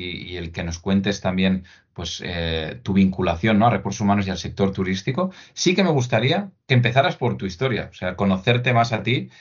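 A man hosting a conversational podcast interview. His voice sits very low and sounds husky. He talks hesitantly, piling up restarts and tag questions, over faint music in a slightly dull, lo-fi recording. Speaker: podcast host.

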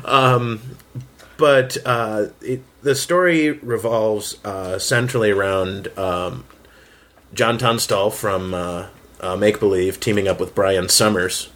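A man chatting casually on a panel as a writer, explaining his work in hesitant, halting stretches. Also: fairly low pitch, fairly even intonation, a bit bright. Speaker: fiction writer on a round-table panel. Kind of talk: casual round-table chat.

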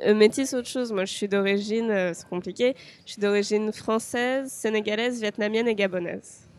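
A woman's voice: medium pitch, moderate pace, darkish tone. A young woman from France, a podcast guest speaking hesitantly, with frequent fillers and restarts. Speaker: interview guest. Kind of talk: podcast interview.

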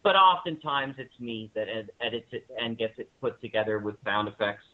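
A man's voice: lowish voice, expressive, fairly quick. A man speaking as a guest in a casual interview, rambling enthusiastically about his work and interests. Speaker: guest, audio drama writer and director. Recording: narrow-band phone-like line.

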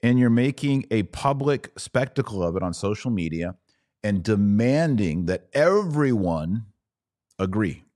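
A man's voice: fairly low pitch, lively intonation, a little slow, darkish tone. A middle-aged American man hosting a podcast, giving emphatic, argumentative commentary with rhetorical questions. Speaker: podcast host. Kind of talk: opinion commentary on played clips.

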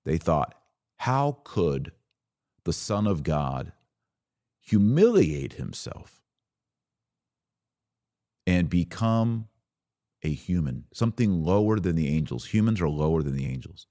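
An American man hosting a religious commentary podcast, explaining in a didactic way with deliberate, emphatic pauses. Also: sits low, very animated, mellow, dry recording, studio quality. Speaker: podcast host.

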